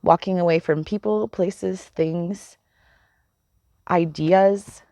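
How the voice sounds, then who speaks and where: A woman giving a casual spiritual card reading, chatty and meandering, with a slightly hoarse voice. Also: fairly low pitch, fairly even intonation, takes it slowly, dark tone, no room sound. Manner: chatty, meandering, laughs often, swears casually. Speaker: tarot reader.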